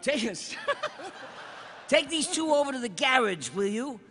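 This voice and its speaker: A man's voice: extremely high pitch, sing-song, measured pace, slight background noise, full character voice. A man voicing a fussy, bossy, giggly cartoon uncle in a live script read. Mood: bossy, excitable. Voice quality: bright, forceful. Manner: exaggerated, breaking into giggles.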